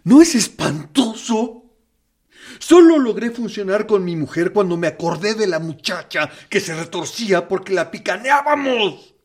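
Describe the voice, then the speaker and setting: Husky tormented captain, lively uneven delivery, slow, radio drama. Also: male voice, sits high, exaggerated voice. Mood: anguished confession. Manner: anguished, swinging from hushed to loud. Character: tormented male torturer captain, confessing. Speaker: actor.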